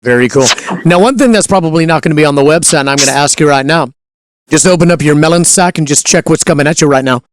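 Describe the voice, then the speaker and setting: A man's voice: fairly high pitch, quick pace, no echo. A man hosting a casual interview, playful and jokey with his guest. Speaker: host.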